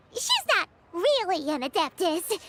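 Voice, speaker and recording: A woman voicing a small, excitable, cheeky girl companion in a video game, squeaky and childlike with perky exclamations. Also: very noisy, full character voice. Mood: teasing. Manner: perky, exclaiming, talks of herself by name. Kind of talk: video game character acting.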